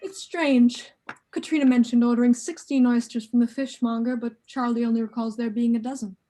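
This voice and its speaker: A woman playing a courteous, confident male detective in an amateur radio play, speaking in a measured, formal way. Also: a little high, fairly even intonation, mellow, soft background music, natural voice. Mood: shrewd, confident.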